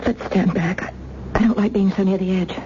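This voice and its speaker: A woman's voice: medium pitch, steady tone, a little fast, close to an everyday voice. An actress playing an anxious, devoted captive wife and mother in a radio mystery drama, nervous and emotional, repeating herself. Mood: tense. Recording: muffled old radio recording, clear noise.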